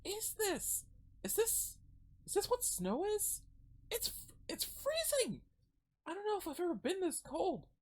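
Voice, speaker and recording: A woman voicing an innocent, tender young girl in a high, soft voice with a hesitant, questioning manner, for a comic read-aloud. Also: huge pitch swings, measured pace, heavily acted. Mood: shivering, bewildered.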